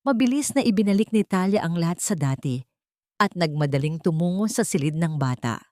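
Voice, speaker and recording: A woman with a fairly low voice narrates a dramatic audiobook. Her reading is scripted, solemn and full of suspense. The recording is very dry, with no room sound. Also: expressive, brisk, darkish tone, no echo.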